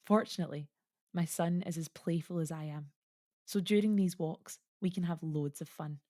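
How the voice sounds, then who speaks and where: Audio play: actress as lyrical, reminiscing immigrant mother, lowish pitch, dry close sound. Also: steady tone, normal speed, warm tone, no room sound, close to an everyday voice. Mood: fond, wistful. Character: reflective Estonian immigrant mother fond of winter.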